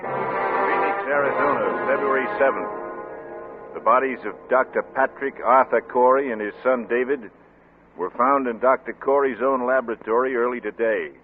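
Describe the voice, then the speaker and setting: A man's voice: mid-range voice, soft background music, close to an everyday voice. Tinny old-radio newsman played by a man. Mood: sober, factual. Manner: measured, formal, matter-of-fact. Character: sober male news reporter reading a grim bulletin. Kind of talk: 1940s radio drama news report. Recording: tinny narrow-band old radio recording.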